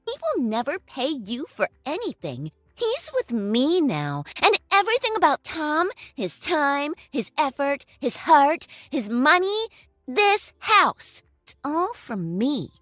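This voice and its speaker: Woman doing a shrill spoiled rich girlfriend. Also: very animated, moderate pace, sharp tone, no room sound, clean studio sound, full character voice. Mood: scornful. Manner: shrieking insults, bossy. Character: shrill spoiled young woman, haughty and cruel.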